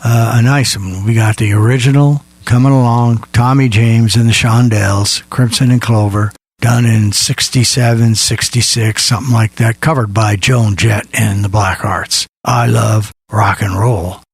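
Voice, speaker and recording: A man's voice: on the low side, fairly even intonation, measured pace, slightly rough, no echo. A man hosting a music radio show in a casual, rambling, folksy style with asides to his co-hosts.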